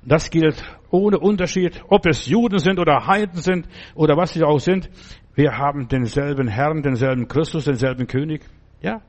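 Preacher guy, fervent and emphatic, bit high voiced. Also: normal speed. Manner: emphatic, fervent, exclaims names loudly. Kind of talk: sermon and prayer.

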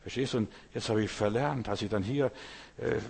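A man's voice: fairly low pitch, fairly quick, gravelly, darkish tone, slightly rough, big-room sound. A man from Lithuania, whose first language is Lithuanian, preaching an informal sermon in a chatty way and often asking his listeners whether they follow. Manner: conversational, keeps asking "verstehst du?".

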